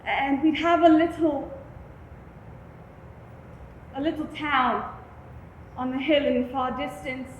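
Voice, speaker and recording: A woman's voice: high-pitched, steady tone, takes it slowly, pauses often, dull audio. A woman playing a free-spirited, coaxing mother. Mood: wistful. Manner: loud, coaxing, wistful. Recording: echoey room with faint noise.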